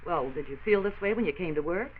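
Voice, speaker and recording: An actress playing a brisk, caring factory nurse in a 1940s radio drama. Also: female voice, fairly low pitch, expressive, close to an everyday voice. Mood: caring, firm. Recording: tinny, noisy 1940s radio recording.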